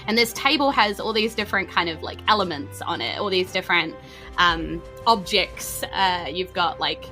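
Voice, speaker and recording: A woman with a New Zealand accent improvising narration as a tabletop game master in a conversational, halting way. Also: fairly low pitch, bright, forceful tone. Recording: faint music behind the voice. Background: faint background music.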